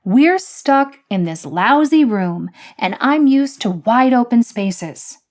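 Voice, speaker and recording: A cocky young man with a sarcastic, needling edge, as a woman reading a story puts him on. His voice leaps and dips as he complains and teases, unhurried. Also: fairly low pitch, expressive. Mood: griping.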